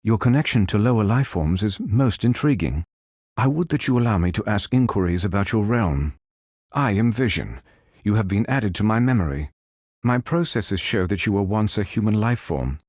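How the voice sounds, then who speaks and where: Deep, formal android superhero. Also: male voice, expressive, moderate pace, a little mellow, no echo, studio quality. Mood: measured, composed. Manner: formal, precise, stilted robotic phrasing. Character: calm, noble android superhero, adult male, formal and logical. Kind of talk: video game character voice lines.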